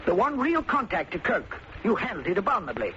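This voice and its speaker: A man's voice: sits high, huge pitch swings, fairly quick, sharp tone, exaggerated voice. An actor playing a fussy, indignant male client in an old radio drama, speaking in a prim, formal, reproachful way. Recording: muffled, noisy vintage radio recording.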